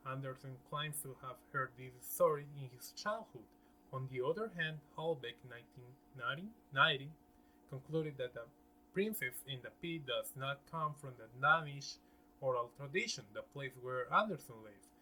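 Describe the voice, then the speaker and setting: Bright-voiced man narrating, slightly halting. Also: medium pitch, slight background noise, low-quality recording. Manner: halting, stumbles over words. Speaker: presenter. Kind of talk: scripted narration.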